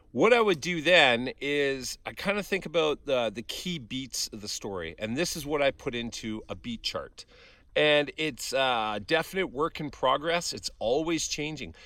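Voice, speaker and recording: A man's voice: medium pitch, a bit bright, dry recording. A middle-aged man talking casually to viewers in a rambling, conversational outdoor vlog. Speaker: vlog host, fiction creator. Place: outdoors on a forest hiking trail.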